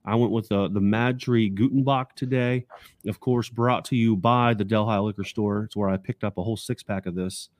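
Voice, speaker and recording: A man co-hosting a casual sports podcast in an upbeat, excited way, recorded in a basement home studio. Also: on the low side, moderate pace, dry recording. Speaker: podcast co-host.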